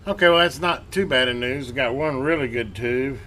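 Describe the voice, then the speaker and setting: A man giving casual, unscripted workbench narration as a hobbyist, folksy and colloquial, thinking aloud with halting pauses. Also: mid-range voice, bright, forceful tone. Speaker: hobbyist host restoring and testing old radios. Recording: clear background noise, amateur home recording.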